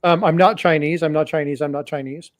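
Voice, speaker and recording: A man mockingly voicing an evasive businessman who keeps insisting on his nationality, hushed and repetitive. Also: on the high side, steady tone, fairly quick, dry recording, clean studio sound, close to an everyday voice. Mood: insistent.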